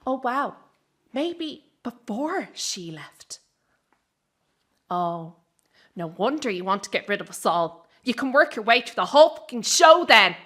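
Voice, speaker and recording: A woman acting in a radio drama as a bitter, defiant young circus performer, confrontational, sarcastic and quick to swear. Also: fairly high pitch, big pitch swings, a bit bright, exaggerated voice. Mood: hurt, scornful. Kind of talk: dramatic radio play dialogue.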